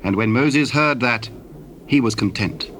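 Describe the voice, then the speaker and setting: A man narrating scripture from a script in a formal, solemn and deliberate manner. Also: mid-range voice, noisy background.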